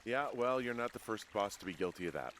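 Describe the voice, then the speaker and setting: An actor playing a guarded, dryly joking fire lookout in naturalistic video game dialogue, low-key and understated. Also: male voice, animated, quick pace, heavy background noise.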